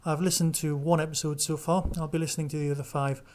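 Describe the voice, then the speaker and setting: A man's voice: a little high, little pitch movement, quick pace, darkish tone, a little hiss or hum. A man hosting a podcast solo, chatting casually through listener news and reviews. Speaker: podcast host.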